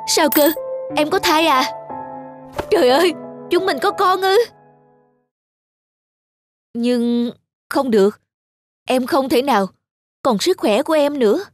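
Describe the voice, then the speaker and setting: Protective young husband, animated drama, female performer, agitated delivery with music underneath. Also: sits high, slow pace, long pauses, voice pushed up. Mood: shocked, worried. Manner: agitated, exclaiming.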